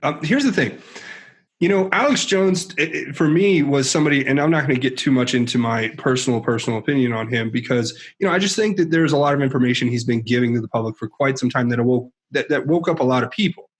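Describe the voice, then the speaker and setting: A man's voice: mid-range voice, fast. A man hosting an interview, asking long, hesitant questions. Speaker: host. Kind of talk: interview conversation.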